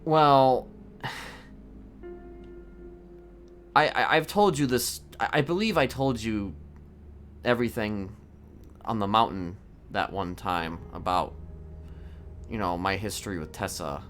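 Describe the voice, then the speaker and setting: Roleplay killer, played by a man: lowish, slow, halting, evasive, oddly polite. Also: a bit bright, slight background noise. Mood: nervous, evasive. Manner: halting, stammering, evasive, politely matter-of-fact. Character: soft-spoken, hesitant male serial killer confessing his crimes. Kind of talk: improvised online game roleplay.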